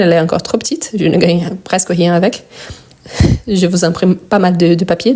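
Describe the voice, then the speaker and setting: Woman w/ brazilian accent teaching french grammar live, soft mellow voice, patient, spells stuff out. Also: fairly low pitch. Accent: Brazilian Portuguese. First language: Portuguese. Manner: patient, repeats and spells out endings. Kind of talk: live online grammar lesson.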